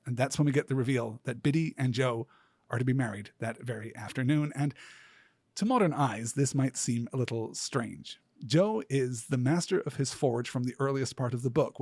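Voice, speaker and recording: A man with a husky, slightly rough voice delivers scripted commentary on literature. His phrasing is measured and bookish, with deliberate pauses. The recording is close and dry. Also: mid-range voice, darkish tone, no room sound. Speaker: host of a literature podcast.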